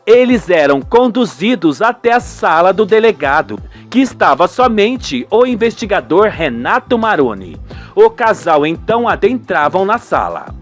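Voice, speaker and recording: A man with a Brazilian accent giving dramatic scripted narration for a radio soap opera. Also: high-pitched.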